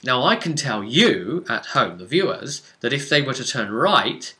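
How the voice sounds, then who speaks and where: A man's voice: medium pitch, very animated, measured pace, bright, forceful tone. A man casually recapping a tabletop role-playing session as game master, explaining conversationally with dramatic asides.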